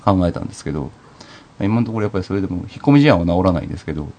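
Fast-talking low-voiced man, jokey podcast host. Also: very animated, warm tone. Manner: jokey, self-deprecating, talkative. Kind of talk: casual comedic banter.

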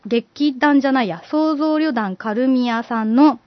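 A woman's voice: high-pitched, measured pace, no room sound. A woman co-hosting a podcast in casual, informal chat, chiming in agreeably. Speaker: podcast co-host. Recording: dull lo-fi close recording.

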